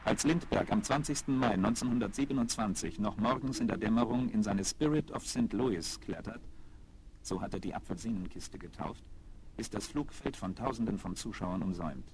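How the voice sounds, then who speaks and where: A man's voice: on the low side, normal speed, a loud crowd, natural voice. A man narrating a historical adventure radio play for children, on an old vinyl record.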